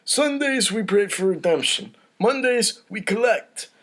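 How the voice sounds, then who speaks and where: A man's voice: high-pitched, lively intonation, unhurried, a bit bright, voice pushed up. A man voicing a blustering mafia boss with emphatic, theatrical gangster patter in a comedy script read. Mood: smug, menacing. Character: mafia Don, blustering boss with cotton-stuffed mouth.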